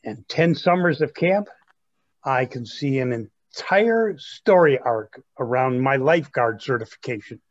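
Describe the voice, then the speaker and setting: A young man playing an earnest, self-absorbed grandson of twenty-three in a comic staged reading, eager, halting and placating. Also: mid-range voice, animated, lo-fi sound, close to an everyday voice. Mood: enthusiastic.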